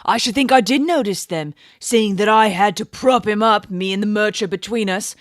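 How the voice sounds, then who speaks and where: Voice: medium pitch, normal speed, no echo, close to an everyday voice. A performer's rambling working-class London constable, dry recording. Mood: irritated, aggrieved. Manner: colloquial, rambling storytelling with dropped g's. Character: working-class London police constable, garrulous, superstitious, defensive. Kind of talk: dramatized audiobook reading.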